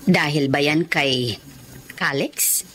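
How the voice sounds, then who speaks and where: A woman's voice: low voice, slow, fairly bright tone, slight background noise. A woman playing a caring, worried middle-aged mother in a radio drama, tender and coaxing, with a Filipino accent. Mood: gentle.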